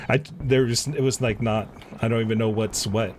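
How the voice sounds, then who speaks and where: A man doing casual live-stream game commentary, muttering to himself and sometimes reading dialogue aloud haltingly. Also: medium pitch, darkish tone, slight background noise.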